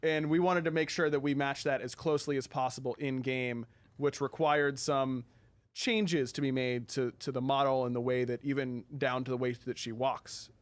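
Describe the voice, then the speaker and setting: A man hosting a casual video game showcase, talking in an upbeat, enthusiastic and halting way with music behind him. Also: medium pitch, steady tone. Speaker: livestream host.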